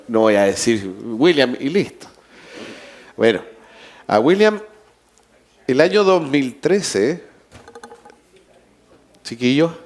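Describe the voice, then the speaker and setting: A man hosting a conference, introducing the next speaker in a halting, friendly way. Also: mid-range voice. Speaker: conference host. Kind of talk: live conference emcee.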